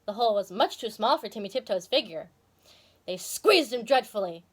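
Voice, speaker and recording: A woman's voice: a little high, animated, moderate pace, breathy edge. A woman hosting and reading a children's story expressively, with casual asides to viewers. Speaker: storyteller and video host.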